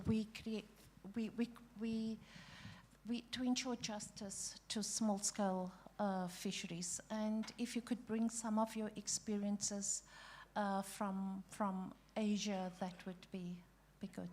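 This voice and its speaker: A woman chairing a conference panel, speaking haltingly with many restarts and fillers. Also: mid-range voice, level tone, a little mellow. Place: conference hall.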